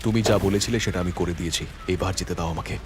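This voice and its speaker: An actor playing an earnest senior police inspector in a cartoon crime drama, emphatic and dramatic. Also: male voice, deep voice, very fast, close to an everyday voice. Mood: grim, resigned. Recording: prominent music under the voice.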